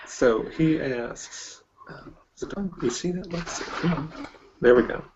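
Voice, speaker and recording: A man's voice: fairly high pitch, unhurried, darkish tone, muffled recording, a little hiss or hum. A man hosting a casual interview, talking conversationally and putting questions to his guest. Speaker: interviewer, host.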